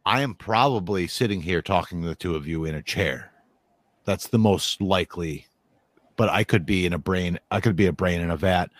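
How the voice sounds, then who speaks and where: Man, lowish pitch, casual and rambling, dry recording. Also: moderate pace, no room sound. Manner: rambling, restarts often, says "like" a lot. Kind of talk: casual conversation.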